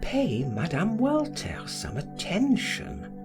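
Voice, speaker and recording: A man's voice: high-pitched, wildly swooping pitch, slow, music in the background, pitched above the performer's own voice, full character voice. A man voicing a poised, gracious society lady in an audiobook, with a light and polite manner.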